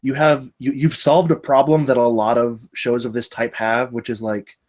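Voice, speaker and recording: A man's voice: mid-range voice, flat delivery, moderate pace, a little mellow. A man giving casual spoken feedback on a script, chatty and rambling, often interrupting himself. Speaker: table read participant giving script feedback.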